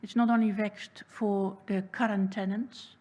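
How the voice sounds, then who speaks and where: A woman with a Dutch accent delivers a prepared submission to a council hearing. She speaks in a measured, deliberate and earnest way. Her pitch sits at an ordinary middle level. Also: little pitch movement, darkish tone. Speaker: public submitter. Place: council chamber.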